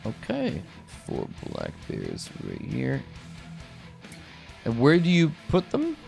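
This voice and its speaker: A man's voice: medium pitch, huge pitch swings, slow pace, gravelly. A man running a live tabletop role-playing game as game master, switching between narration and rules talk. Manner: hums and mutters while working out rules. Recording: music playing behind the voice.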